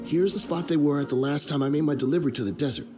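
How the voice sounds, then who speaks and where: A man's voice: fairly high pitch, rapid-fire, mellow, faint music behind, lightly acted. A man acting a shifty, cornered informant in a video game, casual, defensive and wheedling. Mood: reluctant, wheedling.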